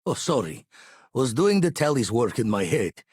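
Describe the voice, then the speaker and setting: A seasoned spaceman and former pirate for a video game. His voice is husky and very expressive in pitch, recorded dry and close. He talks in clipped, folksy phrases, gruff and world-weary but loyal. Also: normal speed, no room sound. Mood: steady, weathered. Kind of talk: video game voice acting, dramatic dialogue.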